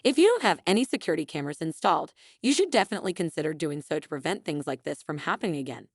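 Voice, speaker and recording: Lady reading as petty wife playing innocent, smug story dialogue, lowish, very lively pitch. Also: rapid-fire, breathy edge, no room sound, clean studio sound, full character voice. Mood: taunting.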